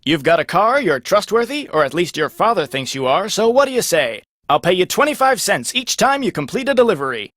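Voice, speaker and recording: An actor playing a folksy, chatty small-town telegraph clerk in a video game. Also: male voice, high-pitched, big pitch swings, fairly quick, hardly any pauses, fairly bright tone, no echo, studio quality, exaggerated voice. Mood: chatty, persuasive.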